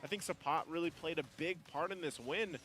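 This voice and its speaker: Guy casting an esports game, calm breakdown of the plays, slightly high voice, crowd noise going behind him. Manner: measured, explanatory, with many "you know" asides. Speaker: esports caster. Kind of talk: game analysis commentary.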